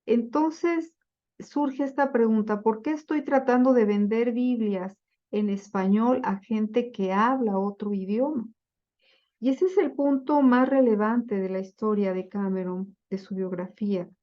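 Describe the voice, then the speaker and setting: A woman giving a slide-based lecture on Bible translation, speaking deliberately with frequent fillers and self-corrections. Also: on the high side, muffled recording.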